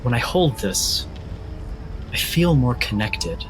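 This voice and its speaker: A man playing an earnest, fascinated male scholar who speaks in a thoughtful, halting, articulate way. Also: medium pitch, very animated, soft background music.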